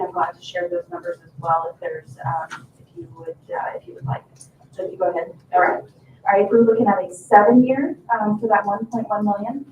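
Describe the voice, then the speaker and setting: An American woman, an economic development official, haltingly presenting figures at a formal meeting. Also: mid-range voice, a little mellow. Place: indoor town council meeting room. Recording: echoey meeting room, distant mic, faint noise.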